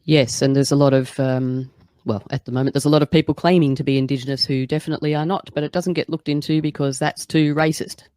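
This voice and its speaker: A man hosting a podcast in an animated, chatty way, with many fillers. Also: fairly high pitch, quick pace, clean tone, no echo, clean studio sound. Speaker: podcast host. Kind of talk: casual chat.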